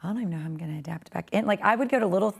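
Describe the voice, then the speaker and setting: A woman in her forties, a podcast guest with a raspy, tired voice, talking earnestly and reflectively about faith. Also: medium pitch, rapid-fire. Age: 40s.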